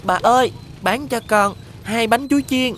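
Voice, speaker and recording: A woman's voice: on the high side, moderate pace, a bit bright, slight background noise, natural voice. A woman voicing a kind-hearted, polite little boy in a children's cartoon, with a southern Vietnamese accent. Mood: concerned. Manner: polite, earnest.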